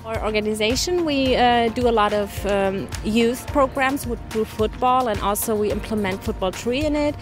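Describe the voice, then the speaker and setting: Young woman, quick chatty interview answers. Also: on the high side, music in the background. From Iran. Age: young adult. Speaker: youth worker. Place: outdoors by a sports field.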